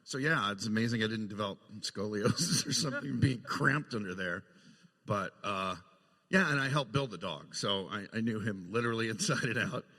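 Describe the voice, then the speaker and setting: A man with a slightly low voice tells casual behind-the-scenes stories as a panel guest. The sound carries the long echo of a big hall. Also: moderate pace, bright, forceful tone. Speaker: guest puppeteer sharing film memories. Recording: echoey hall with long reverb.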